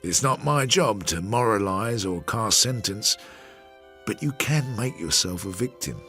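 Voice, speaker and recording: An actor playing a Victorian investigator, narrating a radio drama in a reflective, deliberate and understated way. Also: male voice, lowish voice, animated, pushed and bright, soft background music, full character voice. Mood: measured, wry. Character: measured Victorian investigator recounting a failed case.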